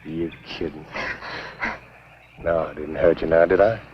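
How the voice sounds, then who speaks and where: An actor playing a sly, swaggering rural philanderer with a folksy Southern American accent in a 1950s B-movie. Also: male voice, rumbling low, steady tone, a little slow. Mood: sweet-talking. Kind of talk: 1950s B-movie film acting. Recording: dull, faintly noisy 1950s film soundtrack.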